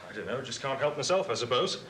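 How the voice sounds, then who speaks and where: An actor playing a breezy, relentlessly cheerful army officer in a training film, chirpy and joking. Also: male voice, mid-range voice, animated, fast, lightly acted. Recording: noisy 1980s film soundtrack.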